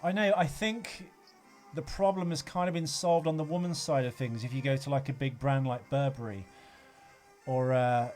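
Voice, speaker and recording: A man's voice: mid-range voice, fairly even intonation, normal speed, darkish tone, soft background music. A man co-hosting a podcast, chatting casually about a film with a teasing, sweary manner. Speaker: podcast host.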